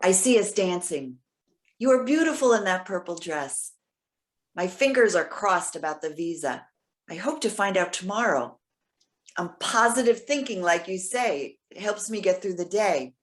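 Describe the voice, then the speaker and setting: A woman's voice: medium pitch, lively intonation, normal speed, natural voice. Woman acting a lonely, romantic elderly lady. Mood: tender, reading aloud. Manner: reads and composes messages aloud with murmured asides. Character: lonely, hopeful, romantic elderly woman writing love emails. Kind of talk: readers theater acting.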